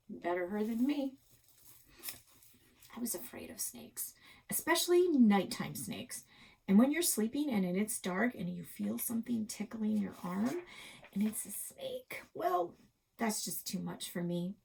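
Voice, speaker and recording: A woman narrating a first-person children's novel expressively, with dramatic pauses and hushed asides. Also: mid-range voice, gravelly, husky, low-quality recording. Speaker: library story reader.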